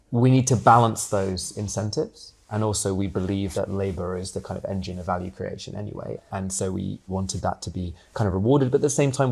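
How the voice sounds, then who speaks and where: A low-pitched man with a gravelly, creaky edge to his voice. He is a guest in a conversational interview, in his twenties and originally from Hong Kong, talking candidly and with animation. He leans on casual fillers and the odd swear word as he reflects. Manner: candid and animated, frequent fillers like "like" and "you know", mild swearing. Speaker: guest, co-op founder.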